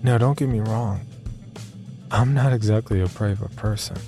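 Guy narrating as uneasy young man in a horror story, low voice, confiding, deliberate pauses, music behind. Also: vocal fry. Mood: wary, confiding. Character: uneasy young man recounting his sleep disorder. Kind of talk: first-person scripted horror narration. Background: background music.

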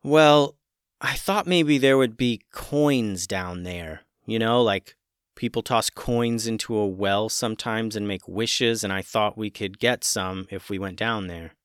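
A man's voice: lowish voice, unhurried, clean tone, dry recording, clean studio sound, lightly acted. A man voicing an earnest young boy who stammers, in a storybook reading. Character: eager young boy, earnest, easily amazed.

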